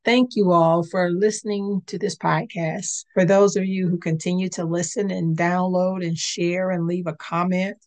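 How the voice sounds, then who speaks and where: A woman hosting a faith-based podcast, speaking in an earnest, conversational way, her voice a little raspy today. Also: on the low side, little pitch movement, moderate pace, few pauses, darkish tone. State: slightly raspy voice. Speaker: podcast host and licensed clinician.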